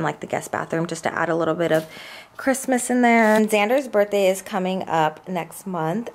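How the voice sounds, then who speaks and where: Young woman, lowish voice, a little raspy from a cold, chatty vlogger, phone held at arm's length, faint hum behind. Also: darkish tone. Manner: rambling, chatty, many likes and asides. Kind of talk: casual chat. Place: home kitchen, indoors. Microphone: handheld phone camera at arm's length.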